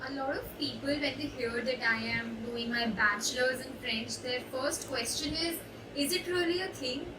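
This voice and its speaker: A woman's voice: high voice, moderate pace. Young Indian woman, echoey distant recording. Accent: Indian English. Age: young adult. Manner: reflective, hesitant with many uh fillers. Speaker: student intern. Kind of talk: personal testimonial. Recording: echoey room, distant mic, background noise.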